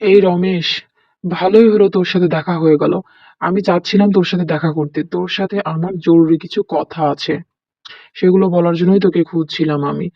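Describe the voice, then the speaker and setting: Man playing a high, gravelly greedy landlord. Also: fairly even intonation, brisk, dry recording, far from the mic. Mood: domineering. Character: greedy, bullying village landlord, cringing when caught. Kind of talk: melodramatic cartoon dialogue. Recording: dull lo-fi cartoon audio.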